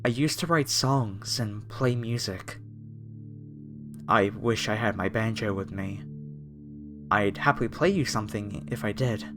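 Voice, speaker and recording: A man's voice: lowish voice, measured pace, long pauses, a bit bright. A man playing a polite, apologetic masked cultist, a former music director, in a horror ASMR roleplay addressed to the listener. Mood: genteel, wistful.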